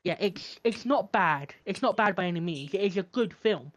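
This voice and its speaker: A man's voice: high voice, expressive, moderate pace. A man co-hosting a film podcast, chatting earnestly and hesitantly with fillers. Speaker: podcast co-host. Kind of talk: casual film review chat.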